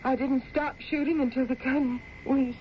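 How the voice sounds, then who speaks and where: High-voiced, determined frontier woman, played by an actress, muffled recording. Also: fairly even intonation, normal speed, lightly acted. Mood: shaken. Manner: emphatic, dramatic, repeats words for effect. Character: determined frontier woman, armed, distrustful, insistent. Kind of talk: radio drama acting. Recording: muffled, noisy old radio drama recording.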